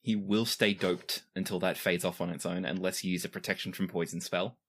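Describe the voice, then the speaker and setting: A man's voice: sits low, steady tone, fairly quick, vocal fry, fairly bright tone. A man acting as game master, improvising descriptive narration for a tabletop game with casual asides.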